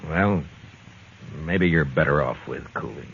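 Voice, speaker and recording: An actor playing a stern, world-weary frontier marshal, terse and blunt, in an old radio western. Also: male voice, extremely low pitch, unhurried, creaky, a little hiss or hum, full character voice. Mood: wry, probing. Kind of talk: 1950s radio western acting. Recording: muffled, tinny old radio recording.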